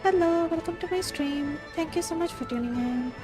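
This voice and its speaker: A woman's voice: very high-pitched, normal speed, music in the background. A woman with an Indian accent chatting casually as she livestreams a game. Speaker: game streamer.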